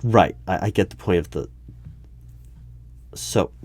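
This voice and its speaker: A man playing an exasperated, argumentative hiring manager in an audio roleplay, speaking haltingly and in formal wording. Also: low-pitched, a little mellow. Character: exasperated male human-resources hiring manager interviewing a robot. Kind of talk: one-sided audio roleplay.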